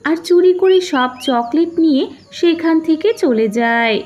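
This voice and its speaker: A woman's voice: extremely high pitch, expressive, measured pace, a little mellow, a little hiss or hum. A woman giving scripted narration of a cartoon fairy tale as the storyteller.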